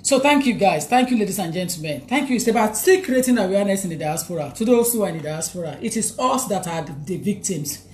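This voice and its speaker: A woman's voice: medium pitch, animated, quick pace, a little mellow, slight background noise. A woman with a Nigerian English accent hosting a live stream, greeting viewers by name and repeating her points in a chatty way. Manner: chatty, repeats phrases, reads out viewer names, laughs often. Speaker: livestream host.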